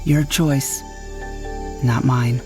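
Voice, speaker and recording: A woman playing a confident space superheroine who speaks in a calm, knowing, mentor-like way. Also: extremely low pitch, very slow, music in the background, full character voice. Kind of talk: scripted audio drama acting.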